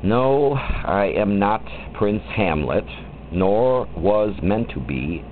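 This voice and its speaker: A man reciting poetry in an expressive, theatrical and deliberate manner, drawing out his phrases. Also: fairly low pitch. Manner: theatrical, deliberate, with wide swoops and drawn-out phrases. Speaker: poetry reader. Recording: muffled lo-fi recording with faint background noise.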